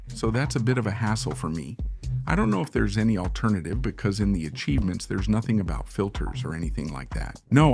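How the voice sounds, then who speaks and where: A man, hoarse from being sick, giving casual gameplay commentary and thinking aloud as he plans and second-guesses his build. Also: mid-range voice, darkish tone. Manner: thinks aloud, unsure and musing. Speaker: gaming channel host.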